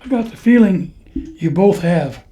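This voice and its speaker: A man's voice: sits high, expressive, measured pace, warm tone. A man playing an eccentric, kindly church caretaker who talks in a folksy, knowing, preachy way in a western radio drama. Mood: friendly, wry. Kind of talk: old-time radio western acting.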